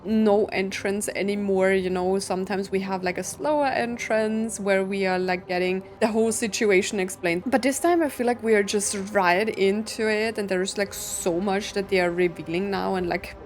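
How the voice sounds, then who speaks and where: A woman's voice: mid-range voice, fairly even intonation, normal speed, hardly any pauses. A woman giving casual, informal playthrough commentary as a game streamer, thinking aloud as she goes. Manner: chatty, informal, musing aloud. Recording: soft game music behind.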